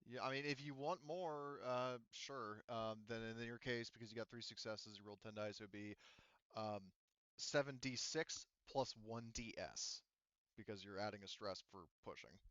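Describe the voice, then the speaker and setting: A man's voice: on the low side, moderate pace, fairly bright tone, smooth, no room sound, highs cut off. A man chatting casually as game master of an online tabletop game, rambling and often backtracking to rephrase himself.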